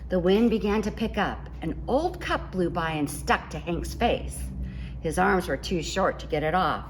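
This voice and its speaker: A woman reading a picture book aloud to children in an expressive storytelling style. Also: on the low side, a little slow, a little hiss or hum, poor audio. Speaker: picture book reader at a school.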